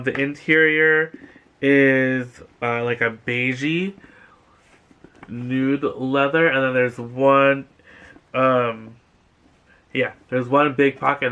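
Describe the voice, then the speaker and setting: A man's voice: mid-range voice, pushed and bright, clear, resonant tone, highs cut off. A young man hosting a casual thrift haul vlog from a couch at home, gushing and rambling with lots of fillers. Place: living room couch indoors.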